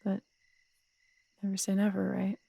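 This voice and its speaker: A woman playing a tough, strong-headed city detective in an intimate audio roleplay, husky and gravelly, telling things in a wry, unhurried, conversational way. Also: medium pitch, completely flat delivery, dark tone, no room sound, heavily acted. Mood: wistful, relaxed. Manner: wry, unhurried storytelling with casual swearing.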